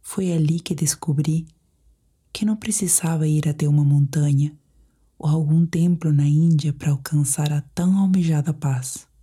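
A Brazilian woman guiding a sleep meditation in a soft, whispery, breathy voice, speaking in a calm, measured, soothing way. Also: low voice. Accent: Brazilian Portuguese. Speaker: meditation guide.

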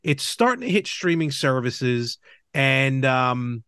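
Animated middle-aged man hosting a podcast. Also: medium pitch, slow, smooth, dry recording, studio quality. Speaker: podcast host. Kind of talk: casual movie chat.

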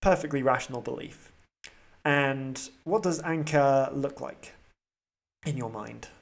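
A man's voice: mid-range voice, a little slow, creaky. A man hosting a role-playing podcast as game master, narrating the scene and slipping in dry, wry quips.

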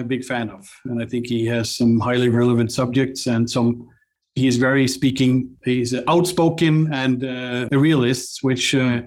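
Halting Norwegian-accented man. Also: medium pitch. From Norway. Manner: halting, many restarts and fillers. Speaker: guest, company cofounder. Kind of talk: casual interview chat.

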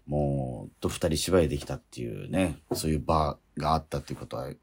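A man's voice: very deep voice, fairly quick, gravelly, slightly rough. A man hosting a radio show, talking casually yet politely and earnestly as he wraps up.

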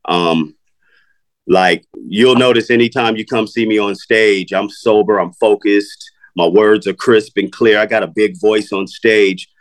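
A man's voice: fairly low pitch, fairly even intonation, a little slow. A middle-aged man, a rapper, talking casually in an interview, laid-back, swearing freely and filling his talk with "you know" and "man.".

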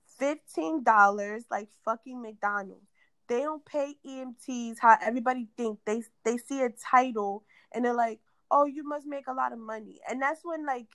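A young woman from New York with a raspy voice after an asthma attack, hosting a casual podcast chat in a blunt, cursing rant. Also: fairly high pitch, fairly bright tone, no echo. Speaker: podcast host.

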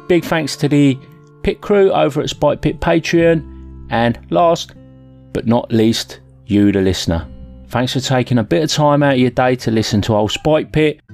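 A man's voice: mid-range voice, creaky, darkish tone. A man speaking as a podcast host in a friendly, thankful sign-off style. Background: guitar music.

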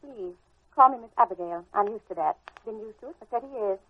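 Casting: middle-aged prim American schoolmarm, imperious, high swooping voice, old radio serial with tinny narrow sound. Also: female voice, expressive, brisk, husky. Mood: prim, businesslike. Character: prim, bossy, unflappable middle-aged New England schoolteacher woman. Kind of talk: old-time radio drama acting. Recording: tinny, band-limited vintage radio recording.

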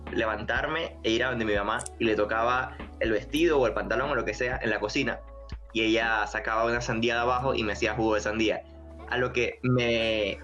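A man's voice: medium pitch, a bit bright. A man in his twenties with a Panamanian accent, talking casually as a podcast guest on a dull home recording. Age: 20s. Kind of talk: casual personal storytelling.

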